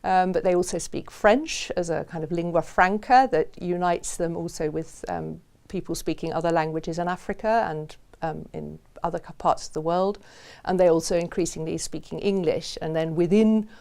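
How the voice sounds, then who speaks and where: A middle-aged woman on a studio panel, explaining her field thoughtfully with many pauses. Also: fairly low pitch, vocal fry, darkish tone. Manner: thoughtful, frequent um pauses and restarts. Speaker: academic panelist. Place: studio with balloons.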